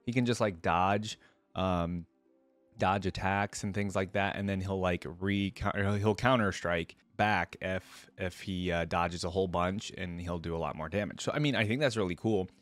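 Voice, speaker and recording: A man's voice: deep voice, moderate pace, no room sound. A man giving casual, conversational reaction commentary as a video host, thinking aloud as he goes.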